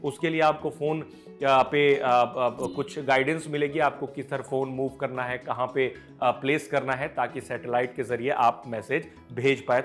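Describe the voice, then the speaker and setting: A man with an Indian accent presenting tech news as an informal, unscripted explainer, mixing English words into his speech. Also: mid-range voice. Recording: music playing behind the voice. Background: background music.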